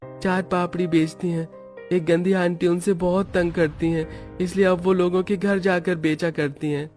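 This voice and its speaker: A man voicing a gentle, earnest, polite young boy in a cartoon story. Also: high-pitched, level tone, moderate pace, soft background music, pitched above the performer's own voice, natural voice. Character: gentle sick young boy comforting his mother.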